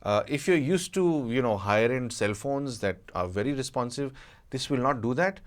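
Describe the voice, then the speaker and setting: Male tech reviewer, medium pitch, off-the-cuff and conversational, qualifying points as he goes. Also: lively intonation, normal speed. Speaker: audio gadget reviewer. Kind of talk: casual unscripted product review.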